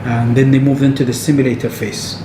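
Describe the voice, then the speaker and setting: A man's voice: medium pitch, level tone, fairly quick. Man explaining in echoey, noisy room. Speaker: head of operations training. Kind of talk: interview explanation. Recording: echoey room, clear background noise.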